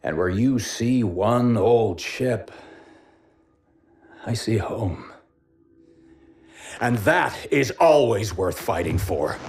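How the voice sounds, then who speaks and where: An actor playing an aging, resolute starship captain in a game cutscene, commanding and deliberate. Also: male voice, on the low side, expressive, faint music behind, full character voice. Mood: solemn, stirring. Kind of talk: cinematic game cutscene acting.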